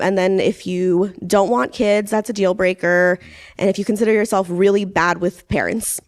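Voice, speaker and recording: Chatty woman in her thirties. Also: on the low side. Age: 30s. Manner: chatty, lots of fillers like "um" and "like". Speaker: contestant. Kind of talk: casual dating show talk.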